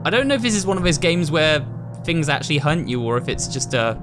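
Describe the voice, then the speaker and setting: British guy doing casual horror game commentary, bit high pitched, chatty, spooky game music clearly behind him. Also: big pitch swings, fast. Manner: chatty, addresses viewers as guys. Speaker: gaming video host. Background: ominous game music.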